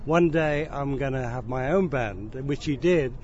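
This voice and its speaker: A man's voice: mid-range voice, measured pace, a little mellow. A man from England, hosting a podcast interview, telling anecdotes and laughing easily. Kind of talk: chatty interview. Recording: dull lo-fi audio with faint background noise.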